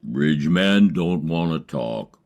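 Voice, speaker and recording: A man playing a laconic, easygoing, dry-humored backwoods survivor in an audio drama, speaking tersely and deadpan. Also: very deep voice, slow, exaggerated voice. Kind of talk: amateur audio drama acting.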